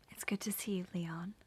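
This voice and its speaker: A woman's voice: on the low side, slightly rough, exaggerated voice. An actress playing a troubled, searching young woman in a naturalistic radio drama, introspective and hesitant. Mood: subdued. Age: young adult. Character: troubled, searching young woman torn over family secrets.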